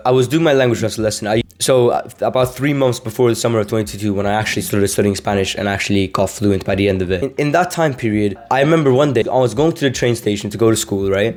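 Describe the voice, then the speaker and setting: A man's voice: mid-range voice, darkish tone. A young man from Sweden, a language-learning vlogger, talking casually to camera, rambling with many fillers and restarts.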